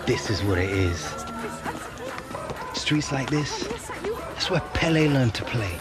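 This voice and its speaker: An earnest, easygoing footballer in a game cutscene. He talks slowly with a lively pitch. Music runs under his lines. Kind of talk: video game cutscene acting.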